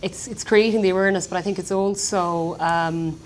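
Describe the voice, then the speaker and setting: A woman speaking briskly on a panel discussion. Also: lowish voice, steady tone, a little hiss or hum. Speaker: round table panelist.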